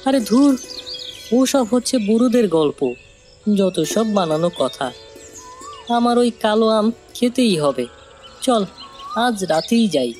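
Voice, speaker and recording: Curious, headstrong cartoon village child, eager and scornful of warnings, clear music bed. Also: female voice, fairly high pitch, animated, a little slow, long pauses, darkish tone. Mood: dismissive, daring. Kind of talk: animated moral-tale cartoon acting.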